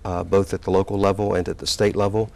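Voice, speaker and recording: Low-voiced man in his sixties, halting. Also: little pitch movement, creaky, a little mellow. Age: 60s. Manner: halting, with many fillers and restarts. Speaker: community college president, guest. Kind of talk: relaxed interview recollection.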